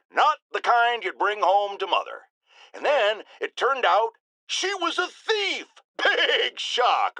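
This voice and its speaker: Blustering, sarcastic male radio host for a game: middle-aged, outraged, high and animated, theatrical monologue delivery, dry studio sound. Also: no echo, full character voice. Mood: scornful, warning. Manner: pompous, sarcastic, emphatic, theatrical.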